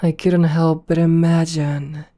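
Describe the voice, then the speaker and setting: Woman acting a low, slow, possessive rich CEO seductress. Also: level tone, warm tone. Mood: smitten, possessive. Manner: slow, deliberate first-person storytelling. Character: possessive, seductive rich female CEO hypnotist, self-assured. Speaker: voice actor. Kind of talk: ASMR audio roleplay.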